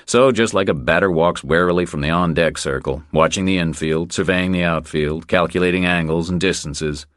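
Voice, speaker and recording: A man with an extremely low voice narrates a crime thriller audiobook. His delivery is measured and deliberate, and the sound is dry and close, free of room echo.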